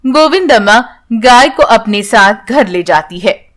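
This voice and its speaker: High-pitched, unhurried woman storyteller. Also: lively intonation, a bit bright. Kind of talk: scripted bedtime story narration.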